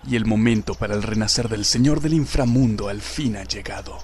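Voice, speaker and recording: A man's voice: lowish voice, brisk, a little mellow, a little hiss or hum, natural voice. A man with a Latin American accent playing a solemn, sinister servant of an underworld lord in an anime fan dub. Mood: ominous. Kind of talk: anime fan dub villain acting in Spanish.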